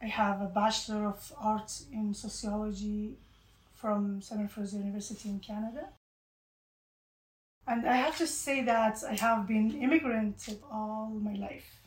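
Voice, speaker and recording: A middle-aged woman from Afghanistan, a guest speaking earnestly and haltingly in an interview. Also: mid-range voice, steady tone. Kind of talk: unscripted interview answers. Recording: echoey room.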